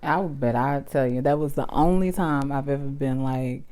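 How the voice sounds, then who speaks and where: Low-voiced Southern woman chatting. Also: normal speed, dark tone. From American South. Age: 40s. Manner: chatty, lots of fillers, bursts of laughter. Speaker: vlogger talking with her partner. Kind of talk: casual couple chat.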